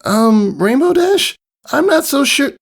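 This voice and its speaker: A man's voice: extremely high pitch, big pitch swings, slow, vocal fry, voice pushed up, heavily acted. A man voicing a timid young female pegasus filly in a cartoon fan story, hesitant and nervous. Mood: nervous, doubtful. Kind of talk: cartoon fan fiction character voice.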